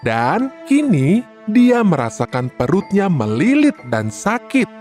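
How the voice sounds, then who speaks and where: A woman reads a children's storybook aloud with a highly animated pitch. Her narration is scripted and expressive. Clear music plays under her throughout. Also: slow pace.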